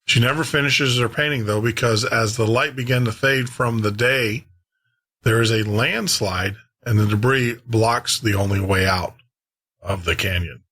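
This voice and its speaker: A man hosting a late-night podcast, presenting casually with measured pauses. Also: medium pitch, pushed and bright. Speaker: podcast host.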